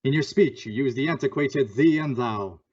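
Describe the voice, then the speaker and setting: A man playing menacing invisible crime fighter, radio thriller, deliberate accusing delivery, dull lo-fi sound. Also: mid-range voice, darkish tone, slightly rough, lightly acted. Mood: stern, accusing. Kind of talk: old-time radio thriller acting. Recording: dull lo-fi audio.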